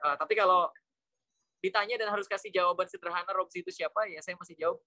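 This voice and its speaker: A man from Indonesia talks as a guest in an informal storytelling way. His voice is fairly high and bright, and he moves along quickly, slipping in English words. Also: no echo. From Cirebon, West Java, Indonesia. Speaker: interview guest, Islamic school educator. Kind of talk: conversational personal storytelling.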